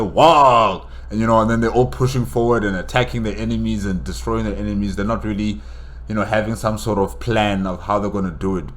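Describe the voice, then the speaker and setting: Male reaction host, lowish pitch, informal and halting, working out his thoughts as he talks. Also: slight background noise. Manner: hesitant, thinking aloud, repeats words. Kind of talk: casual solo reaction commentary.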